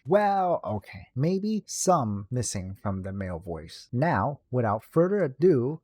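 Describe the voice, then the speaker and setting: A man narrates a game guide in a casual, explanatory way. His voice sits at a middle pitch and moves up and down in a lively way. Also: husky. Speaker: video game guide host.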